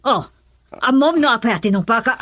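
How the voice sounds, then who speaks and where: A woman's voice: fairly high pitch, lively intonation, brisk, a bit bright. A woman acting a stern, hot-tempered mother in an Ilocano radio drama, scolding emphatically. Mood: angry confronting. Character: stern, hot-tempered mother confronting her daughter and her suitor.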